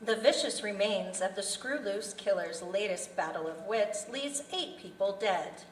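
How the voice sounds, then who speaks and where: A woman's voice: medium pitch, expressive, measured pace, natural voice. A woman playing a serious TV newscaster at a live table read, with formal delivery. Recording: roomy, distant mic, faint noise.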